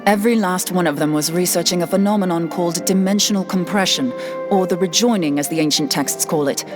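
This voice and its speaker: A woman's voice: lowish voice, fairly quick, music in the background, lightly acted. A woman playing a blunt, wry young scholar in a fantasy game, speaking in a plain, colloquial way. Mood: grave. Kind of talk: fantasy game cutscene acting.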